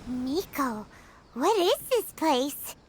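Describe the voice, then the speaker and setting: Tiny floating game companion: squeaky, very high, animated, childlike, excitable, timid, music behind. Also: female voice, extremely slow, slight background noise, exaggerated voice. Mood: nervous, scared. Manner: squeaky, exclamatory, speaks of self in third person. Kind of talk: video game character dialogue. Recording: background music under the voice.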